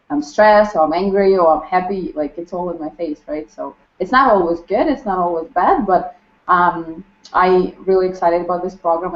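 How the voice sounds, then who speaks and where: The twenty-something Ukrainian woman taking her turn to introduce herself at a staff workshop, feeling for her words in a dull, roomy recording. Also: fairly low pitch. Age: 20s. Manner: halting, non-native English phrasing. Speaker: course participant introducing herself. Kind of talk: casual personal sharing in a group. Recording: muffled lo-fi, roomy echo.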